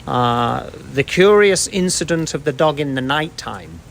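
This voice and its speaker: A man's voice: mid-range voice, expressive, unhurried, vocal fry, slight background noise. A middle-aged British man giving thoughtful, explanatory answers in a conversational interview. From United Kingdom.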